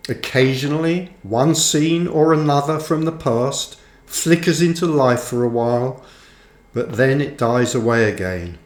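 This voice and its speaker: Slow-reading man in a roomy space. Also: medium pitch. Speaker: author reading own book aloud. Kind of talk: audiobook-style scripted narration. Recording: roomy, echoing sound.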